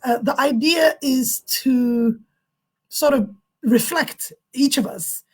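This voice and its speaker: Husky high-voiced woman from Somalia. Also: slow. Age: 50s. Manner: reflective, gently humorous, with restarts. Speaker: guest speaker, university staff. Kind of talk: reflective personal talk.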